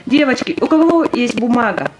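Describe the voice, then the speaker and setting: A woman's voice: fairly high pitch, animated, fairly quick, natural voice, low-quality recording. Woman as a small, eager young army private. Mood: tender. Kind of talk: audio play acting. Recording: music under the voice.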